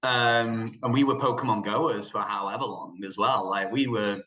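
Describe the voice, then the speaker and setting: Bright-voiced man in an echoey room. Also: fairly low pitch, steady tone, moderate pace. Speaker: podcast panelist. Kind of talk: casual chat. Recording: roomy, echoey sound.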